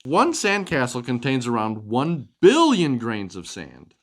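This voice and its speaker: A man's voice: mid-range voice, wildly swooping pitch, measured pace. A man hosting a children's storytime, reading expressively and chatting casually to explain things. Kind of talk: animated picture-book reading and casual chat with kids.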